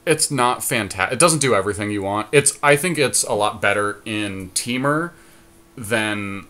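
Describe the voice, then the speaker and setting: Low-pitched man, casual podcast commentary. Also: normal speed, bright, forceful tone. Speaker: podcast co-host. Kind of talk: casual card game draft commentary.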